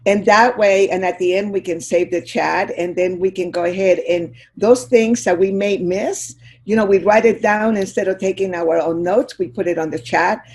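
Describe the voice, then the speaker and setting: Older woman from Chile, earnest and soft, video call audio, mid pitch. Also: fairly even intonation, moderate pace, few pauses. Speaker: guest speaker. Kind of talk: heartfelt talk on a video call.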